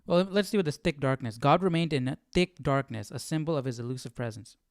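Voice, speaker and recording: Casting: male study-stream host, smooth clear voice, close dry sound, informal and questioning. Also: a little high, quick pace, no echo. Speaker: Bible study livestream host. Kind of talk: casual thinking aloud, reading scripture.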